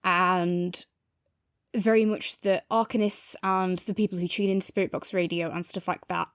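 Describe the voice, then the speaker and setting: A woman's voice: fairly low pitch, steady tone, normal speed, clean tone, no room sound, studio quality. A woman chatting casually and thoughtfully as a podcast co-host in a review discussion.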